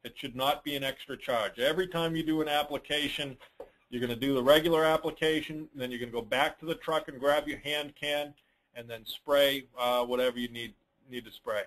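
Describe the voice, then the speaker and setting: A man's voice: mid-range voice, fairly even intonation, fairly quick, a bit bright, rough recording. A man coaching informally on a call, answering questions in a plain-spoken, advisory way with emphatic points. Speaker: lawn care business coach hosting a dealer call.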